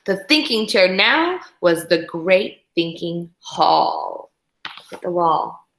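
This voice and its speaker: A woman reading a children's picture book aloud expressively, acting out moments and adding her own little comments. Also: on the low side. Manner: animated, dramatizes characters and pauses to comment. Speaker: children's story reader. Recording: echoey room.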